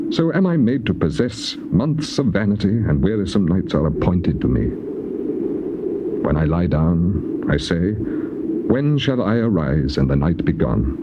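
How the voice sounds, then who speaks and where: A despairing man in a bible drama, grand old-fashioned delivery, pitch all over, hissy dull cassette. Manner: declamatory, archaic diction. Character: afflicted man in despair, anguished, bitterly pleading. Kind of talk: dramatized scripture reading, stage-trained acting. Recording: noisy, muffled old cassette tape.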